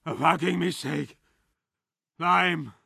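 An actor playing a desperate, foul-mouthed villain in a crime radio drama, pleading bitterly in a hoarse, strained voice. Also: male voice, a little high, slow, pushed and bright, dry recording, clean studio sound, exaggerated voice. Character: desperate, foul-mouthed male villain, a huge treacherous friend.

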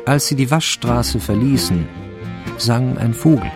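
Male narrator, radio crime drama, lowish creaky voice over clearly audible music. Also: measured pace. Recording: music under the voice.

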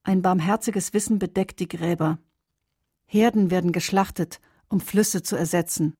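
A woman reciting poetry for a radio drama in deliberate, weighted, declamatory phrases. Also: lowish voice, fairly even intonation, mellow, breathy edge, no room sound, studio quality. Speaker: reader in a radio adaptation of a poem cycle.

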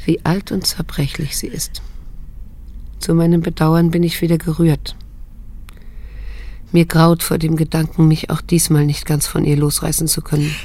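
Husky, weary grown daughter. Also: female voice, low voice, flat delivery, dark tone. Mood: inward, repelled. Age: middle-aged. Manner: restrained, measured, cool, introspective. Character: bitter, weary grown daughter, probing and self-controlled. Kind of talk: radio drama dialogue and inner monologue.

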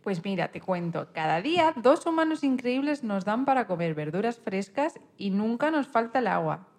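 A woman playing a cheerful, reassuring female rabbit in a children's radio story, with a friendly, animated manner. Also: medium pitch, normal speed. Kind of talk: amateur radio-play acting of a children's story.

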